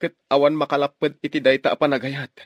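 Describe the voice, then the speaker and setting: An actor with a Filipino accent playing a devoted, determined young lover in a radio drama, speaking earnestly. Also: male voice, on the high side, little pitch movement, fast talker, no room sound, muffled recording, lightly acted. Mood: reassuring.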